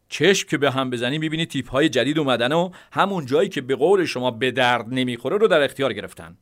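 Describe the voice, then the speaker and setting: A man reading an audiobook memoir, voicing a firm, decisive male military commander who speaks in a measured, insistent way. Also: on the high side, a bit bright, pitched above the performer's own voice.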